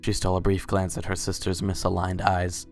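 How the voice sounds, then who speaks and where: A man's voice: low-pitched, completely flat delivery, brisk, a little mellow, faint music behind. A man reading scripted story narration as the narrator of a dramatic reading.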